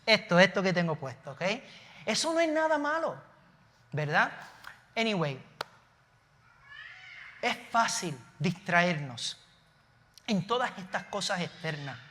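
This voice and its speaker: A Puerto Rican pastor with a Puerto Rican Spanish accent preaches from a church stage, mixing earnest points with casual asides and quick self-corrections. His voice sits high and sounds bright and forceful. A long hall reverb trails behind his words. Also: slight background noise. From Puerto Rico. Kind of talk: sermon.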